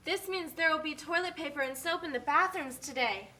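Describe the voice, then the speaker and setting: A woman's voice: sits high, fairly quick, pushed and bright. A woman voicing a blunt, matter-of-fact factory worker who explains things in an amateur puppet show. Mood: explanatory.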